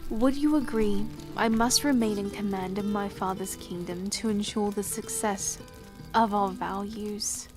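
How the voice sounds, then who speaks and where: A woman's voice: medium pitch, steady tone, a little slow, natural voice. An actress playing a dignified, resolute young queen who speaks formally and earnestly. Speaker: newly crowned queen. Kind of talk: fantasy audio drama acting. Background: music.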